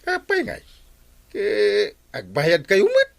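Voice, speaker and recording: A woman's voice: medium pitch, wildly swooping pitch, slow, vocal fry, exaggerated voice. A woman playing a puzzled villager in an Ilocano comedy drama, questioning and exclaiming.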